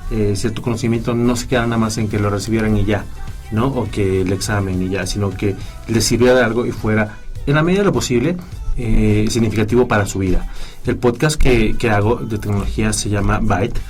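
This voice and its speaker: Male guest, Mexican accent, easygoing tech talker with a low voice. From southeast Mexico. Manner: relaxed, joking, many fillers and restarts. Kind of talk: radio interview.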